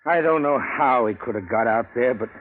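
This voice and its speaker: A man's voice: medium pitch, expressive, moderate pace, natural voice. An actor playing a solemn, apologetic neighborhood policeman in a 1940s radio drama. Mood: grave, apologetic. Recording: noisy, tinny old radio broadcast.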